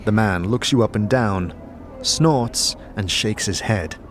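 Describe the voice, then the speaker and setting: Low-voiced man narrating dark fantasy. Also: slow. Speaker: narrator, game master. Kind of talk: dramatic second-person scripted narration. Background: music bed.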